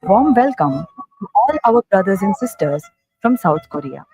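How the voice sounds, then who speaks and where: Woman announcer, lively formal delivery. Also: mid-range voice, moderate pace, husky. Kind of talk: scripted welcome narration. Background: music.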